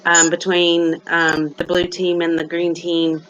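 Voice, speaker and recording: A young woman from Alabama presents to a class in a slow, bright voice. Her tone stays even, and her delivery is halting, with many "um" pauses. The recording sounds somewhat dull. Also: lowish voice, slight background noise. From Athens, Alabama, USA. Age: young adult. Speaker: student presenter.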